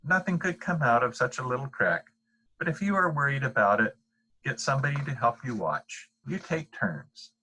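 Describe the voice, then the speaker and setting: A man's voice: medium pitch, lively intonation, moderate pace, sharp tone, a little hiss or hum, voice pushed up, rough recording. A man reading the part of a firm, patient father in a bedtime storybook, explaining things matter-of-factly. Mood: reassuring.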